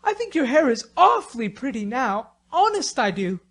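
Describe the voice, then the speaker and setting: A proud teenage boy voiced by a woman in an audiobook drama. The voice is high and swings widely in pitch, with an earnest, emphatic delivery that wears hurt pride openly. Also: sing-song, normal speed. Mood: earnest, pleading. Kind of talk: audiobook character acting.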